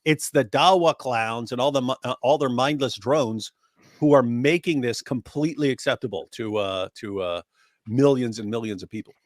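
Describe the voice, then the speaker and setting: A man's voice: medium pitch, normal speed, dry recording, studio quality. A man co-hosting a casual online commentary, speaking emphatically and building his points in long lists. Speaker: co-host.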